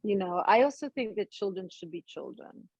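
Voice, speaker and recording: A middle-aged woman who grew up in Italy, speaking English as a guest actress in a casual interview, talking in animated bursts and often restarting her sentences. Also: medium pitch, normal speed, gravelly, husky, muffled recording. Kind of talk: casual interview over a call.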